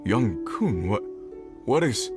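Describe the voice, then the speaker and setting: A man voicing an earnest, worried rescue leader in a video game, polite, expressive and a little hesitant. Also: fairly low pitch, takes it slowly, music in the background. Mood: worried, puzzled.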